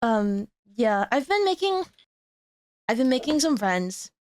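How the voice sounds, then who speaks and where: High-voiced stuttering young woman streamer. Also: sing-song, a little slow, no room sound, clean studio sound. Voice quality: stutters, with frequent repeats and restarts. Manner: rambling, laughs at herself. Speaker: VTuber streamer hosting a guest. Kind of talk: casual chat.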